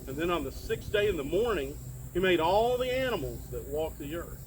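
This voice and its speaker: Give the part of a friendly Bible patriarch telling stories to children to a man acting it out. His voice sits a little high, and he chats along, putting questions to his young listeners. The recording is outdoors, with clear insect noise around him. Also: big pitch swings, a little fast, rough recording. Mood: gentle, earnest. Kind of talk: children's storytelling. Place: outdoors among trees and plants.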